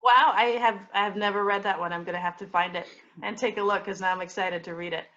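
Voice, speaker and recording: A woman's voice: mid-range voice, fairly even intonation, quick pace, pushed and bright, highs cut off. An American woman casually hosting an interview for children, with informal, hesitant phrasing. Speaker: library host, interviewer. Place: remote recording from home.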